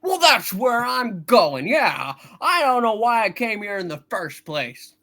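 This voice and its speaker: A woman's voice: on the high side, sing-song, sharp tone, exaggerated voice. A woman playing a flustered, stubborn young man who stammers, in a hammed-up amateur radio play reading. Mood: grumpy, awkward. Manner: stammering, halting, blustery.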